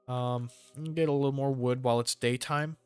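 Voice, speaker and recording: A man's voice: medium pitch, fairly even intonation, normal speed, clean tone, dry recording, soft background music. A man giving casual, rambling gameplay commentary with jokey slang. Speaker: gamer hosting a let's play.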